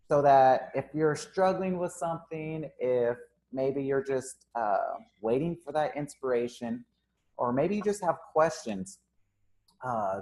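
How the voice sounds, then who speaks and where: A man's voice: mid-range voice, darkish tone. Casual young man hosting a live stream. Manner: casual, filler-heavy, upbeat. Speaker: gym owner and host.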